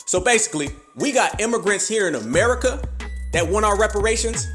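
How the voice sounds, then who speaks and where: A podcast co-host speaks to his audience in a fairly high voice. His delivery is emphatic and full of swearing, and he calls his listeners family. Faint music plays underneath. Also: expressive, a little fast, fairly bright tone. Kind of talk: commentary to listeners.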